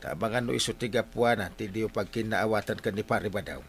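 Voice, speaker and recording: Man playing a gravelly, meddling Ilocano village captain. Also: lowish voice, steady tone, a little fast, faint music behind. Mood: scolding, teasing. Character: meddling, scolding male village captain, comic. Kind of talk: comedic barangay radio drama acting.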